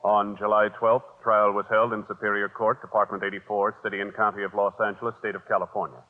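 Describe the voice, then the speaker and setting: A man with an American accent announcing formally in 1950s radio style, measured and official. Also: fairly low pitch, level tone. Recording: muffled vintage radio, long reverb.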